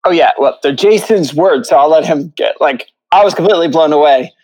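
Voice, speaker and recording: A man's voice: a little high, a little fast, a little mellow, rough recording. A man giving casual interview answers as a theatre composer, in a hesitant, loosely phrased way.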